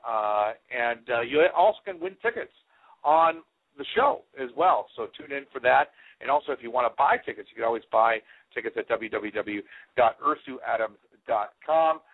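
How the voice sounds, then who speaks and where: A man in his forties hosts an internet radio show with a mid-range voice. He rambles through unscripted announcements, pausing and hesitating often. The sound is thin and band-limited, like a telephone feed from a headset. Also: medium pitch, big pitch swings, husky, phone-quality sound. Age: 40s. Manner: rambling, frequently hesitant delivery.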